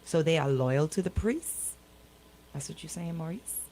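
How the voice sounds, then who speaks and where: A woman's voice: low voice, animated, normal speed, dark tone, slight background noise, low-quality recording. A woman hosting a casual live talk show, questioning people incredulously and repeating her words for emphasis. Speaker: radio show host.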